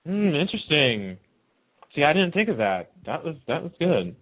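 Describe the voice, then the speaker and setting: A man's voice: a little high, sing-song, moderate pace. Enthusiastic male host, tinny phone-like sound. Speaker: talk show host. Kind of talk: casual fan chat.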